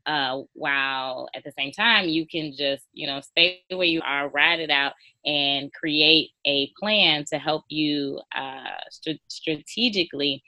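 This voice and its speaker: A woman's voice: low voice, slow pace, no echo, muffled recording. A woman guest-hosting a podcast interview, encouraging and talking in long run-on thoughts. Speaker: guest host.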